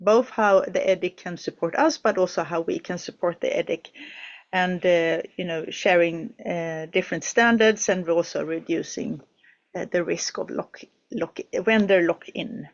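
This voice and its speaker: A woman's voice: lowish voice, measured pace, dull audio. A woman hosting an online project session from a studio, talking hesitantly with many fillers and restarts. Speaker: webinar host.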